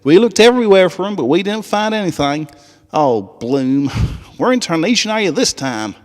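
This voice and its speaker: A man's voice: high voice, very animated, normal speed, in a large hall, pitched above the performer's own voice. A man voicing a caring female farm pony from a story, folksy and earnest, with an American Southern accent. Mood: sorrowful.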